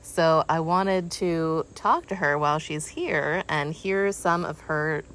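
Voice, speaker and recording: Female interviewer, low-pitched, casual and appreciative, feeling for her phrasing, with some ambient noise behind her. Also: fairly even intonation, takes it slowly, a bit bright. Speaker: host, interviewer. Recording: noticeable ambient background noise.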